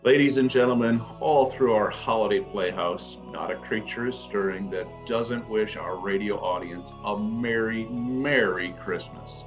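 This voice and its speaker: Genial announcer-narrator for a holiday radio play, male performer, scripted storytelling, muffled sound with clear musical underscore. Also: fairly low pitch, unhurried, few pauses, soft background music, lightly acted. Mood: genial announcing. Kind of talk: old-time radio drama narration.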